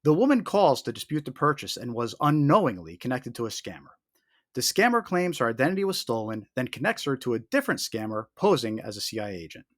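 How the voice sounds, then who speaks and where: Animated man narrating an explainer, dry sound. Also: medium pitch, quick pace, no echo. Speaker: host of a scam awareness video.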